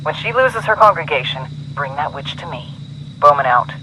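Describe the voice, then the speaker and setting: An actor playing a hard-bitten intelligence handler giving a blunt, grim mission briefing in a game. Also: male voice, fairly high pitch, expressive, moderate pace, lightly acted. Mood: grim, urgent. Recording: faint vehicle or radio noise.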